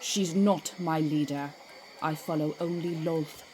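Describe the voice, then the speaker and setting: Woman acting a haughty dark elf priestess, low voice. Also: fairly even intonation, unhurried, a little hiss or hum, rough recording. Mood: disdainful, curt. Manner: formal, archaic, disdainful speech. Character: haughty drow priestess, zealous devotee of her goddess. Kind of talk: fantasy audio drama acting.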